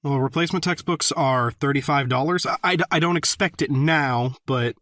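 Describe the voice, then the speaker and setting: An actor playing a casual male high school teacher with a halting, hesitant manner in an audio drama. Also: fairly bright tone, dry recording, clean studio sound, lightly acted. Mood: hesitant, questioning.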